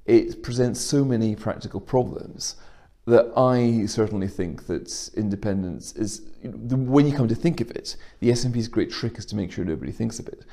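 Low, mellow-voiced man arguing a political point. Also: normal speed, creaky, few pauses. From Scotland. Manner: fluent, argumentative, building points step by step. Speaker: guest commentator. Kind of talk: political discussion.

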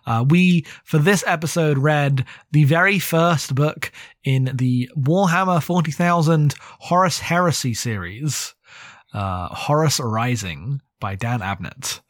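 A man's voice: a little high, unhurried, no room sound, studio quality. A man in his thirties co-hosting a podcast, chatting casually about books in a rambling, wry way. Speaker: podcast co-host. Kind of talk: casual book discussion.

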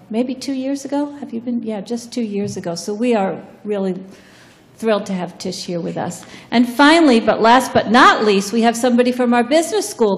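A woman dean introducing a panel and talking about her program, pausing often on "um". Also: mid-range voice, in a large hall. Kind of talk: panel introduction and short talk. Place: large lecture room.

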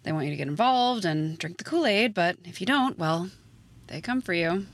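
A woman's voice: lowish voice, lively intonation, normal speed. A woman playing a jaded, blunt schoolteacher with a dry, sardonic manner, in a naturalistic audio drama. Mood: cynical. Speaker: actor in an audio play.

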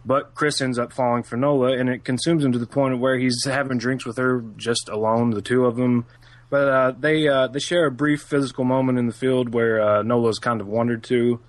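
Monotone man recapping a film plot. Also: mid-range voice, brisk, no room sound. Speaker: podcast co-host giving film synopsis. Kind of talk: casual podcast chat.

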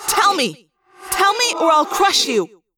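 A woman's voice: very high-pitched, very animated, takes it slowly, noisy background, pitched above the performer's own voice, exaggerated voice. A woman voicing a frightened, confused and fierce teenage girl in an audiobook, urgent and demanding. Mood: furious.

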